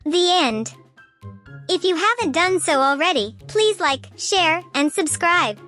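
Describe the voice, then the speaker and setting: The storytime lady on a children's read-aloud channel, a high-voiced woman going slowly through each page over soft music. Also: very animated. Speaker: storytime host.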